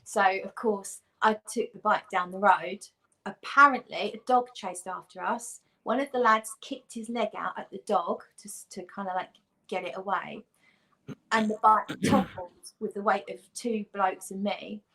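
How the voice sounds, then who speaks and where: A woman's voice: mid-range voice, fairly even intonation, moderate pace, sharp tone, poor audio. A woman as a guest on a live chat, telling a long anecdote in a rambling, self-deprecating way.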